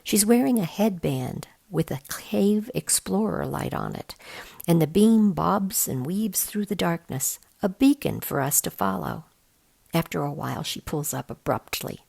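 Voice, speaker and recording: A woman reading a children's novel aloud in an expressive storytelling manner. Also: fairly low pitch, a little slow, gravelly, breathy edge. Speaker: volunteer storybook reader.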